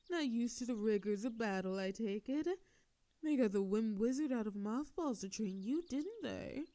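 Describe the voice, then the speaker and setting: Woman acting a creaky, sardonic telepathic villainess. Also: medium pitch, mellow. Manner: theatrical, taunting, drawn-out words. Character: sardonic female telepathic supervillain, menacing yet softening. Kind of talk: scripted roleplay audio drama monologue.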